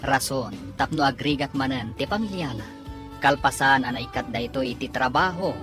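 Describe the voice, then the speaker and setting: A woman's voice: very deep voice, animated, normal speed. A woman with an Ilocano accent giving dramatic scripted narration as a radio drama announcer. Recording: music under voice. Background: dramatic music.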